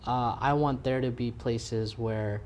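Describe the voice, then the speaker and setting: Young male guest, earnest and halting, everyday mid-range voice. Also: medium pitch, steady tone, darkish tone, a little hiss or hum. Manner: earnest, halting with fillers and restarts. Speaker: community organization guest. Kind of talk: casual interview chat.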